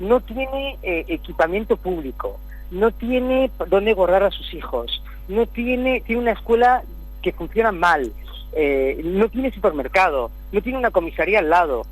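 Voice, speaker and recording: A middle-aged man from Spain speaks as a guest in a political interview. His voice sits a little high, and he lays out his points carefully, circling back with repeated words as he thinks. A faint hum runs under the line. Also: expressive, dry recording, low-quality recording. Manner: measured, explanatory, repeats words while searching. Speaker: guest, city councillor. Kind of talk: political interview over the phone.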